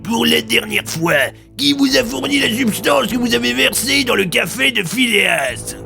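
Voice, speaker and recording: A man's voice: very high voice, lively intonation, a little slow, vocal fry, sharp tone, faint music behind, full character voice. A man playing a blustering authoritarian colonel in an audio drama. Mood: threatening. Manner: barking, threatening. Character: blustering authoritarian colonel, meek before his superior.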